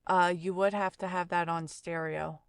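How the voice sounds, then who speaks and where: A woman's voice: lowish voice, steady tone, normal speed, clear, resonant tone. A woman presenting an audio tutorial, commenting casually and offhandedly as she tries out settings. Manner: offhand, piecemeal commentary while trying out settings. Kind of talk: casual software demonstration.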